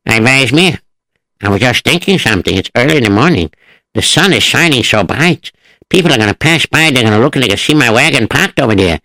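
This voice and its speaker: A man's voice: medium pitch, lively intonation, fast talker, sharp tone, rough recording. Man putting on a fretful farmer. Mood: worried. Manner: fretful, sing-song, wailing. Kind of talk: storytelling.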